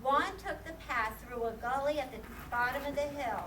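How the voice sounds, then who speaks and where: A woman's voice: high-pitched, moderate pace. A woman narrating a scripted children's puppet show as a storyteller. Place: live performance in a room, far mic. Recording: distant, echoey room with clear noise.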